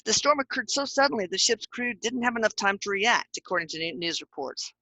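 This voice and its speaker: Bright, chatty middle-aged woman. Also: mid-range voice, animated, a little fast, no echo, low-quality recording. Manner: chatty, jokey, reads with asides and spelled-out names. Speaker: host. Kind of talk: casual chat, reading aloud from notes.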